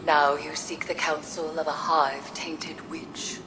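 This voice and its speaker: A woman's voice: low voice, little pitch movement, measured pace, background noise. A woman playing a grave, cryptic witch who counsels steadfastly in solemn, formal video game dialogue. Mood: firm, counseling.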